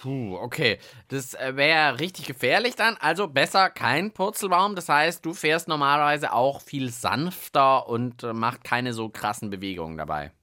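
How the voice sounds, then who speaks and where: A man with a bright, forceful voice and very lively intonation. He presents conversationally as co-host of a science show for children. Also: mid-range voice, normal speed, hardly any pauses.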